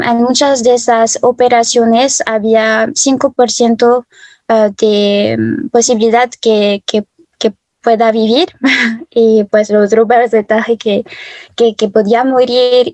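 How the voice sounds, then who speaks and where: Hesitant young woman, high and slow. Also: no room sound. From France. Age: 20s. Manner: hesitant, many fillers and soft laughs. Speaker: interview guest. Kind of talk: personal testimony.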